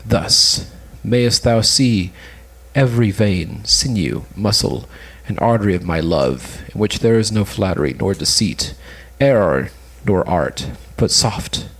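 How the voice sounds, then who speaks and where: A man's voice: lowish voice, expressive, takes it slowly, few pauses, fairly bright tone, husky, heavily acted, poor audio. A man playing a lovesick, melancholy suitor, earnestly declaiming in an amateur cold reading of an old stage play. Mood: pleading, earnest.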